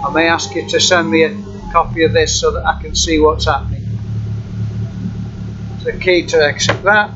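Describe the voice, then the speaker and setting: Guy demoing software off the cuff, kinda halting and matter of fact, dull muffled audio w/ loud engine noise. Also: fairly high pitch, fairly even intonation. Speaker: software demonstrator. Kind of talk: informal screen-recorded software walkthrough. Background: running car engine hum.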